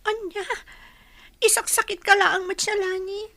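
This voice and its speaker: A woman's voice: very high voice, flat delivery, unhurried, creaky, bright, forceful tone, dry recording, clean studio sound, exaggerated voice. An actress playing a concerned young woman, a worried friend, pleading earnestly in a radio drama. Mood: pleading, hurt. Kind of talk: Ilocano radio drama acting.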